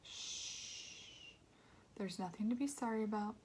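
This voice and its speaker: A woman voicing a loving, gentle mother in a storybook, speaking in a soothing, affectionate manner. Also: medium pitch, slow, a little hiss or hum, natural voice. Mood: soft, soothing.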